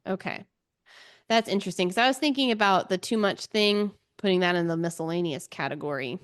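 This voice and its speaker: A woman's voice: on the low side, brisk, dry recording, studio quality. A woman co-hosting a book podcast in casual chat, thinking aloud in an informal way.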